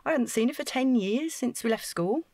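A woman's voice: fairly high pitch, animated, moderate pace, dark tone, no room sound, clean studio sound. An actress playing an earnest, naive council housing officer in an audio drama, chatty and flustered as she over-explains. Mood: explaining.

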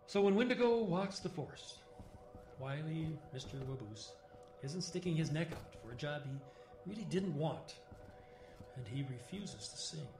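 A man's voice: fairly high pitch, gravelly, background noise. An actor playing a haunted older man telling stories in an audio drama, plain-spoken and folksy, with clipped phrasing and wry humor. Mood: wry storytelling.

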